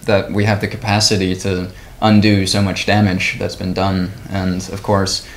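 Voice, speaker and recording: A man, a swimming club president, speaking as a guest in a measured, thoughtful way. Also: low-pitched, monotone. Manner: thoughtful, measured, uses fillers. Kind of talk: conversational TV interview.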